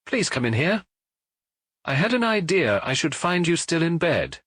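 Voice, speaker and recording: A man's voice: on the high side, big pitch swings, normal speed, fairly bright tone, husky, no echo, studio quality, pitched above the performer's own voice, exaggerated voice. A man voicing a self-satisfied, eager police inspector in an audiobook, with a pompous, formal, explanatory manner. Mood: brisk, pleased. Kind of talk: audiobook character voice.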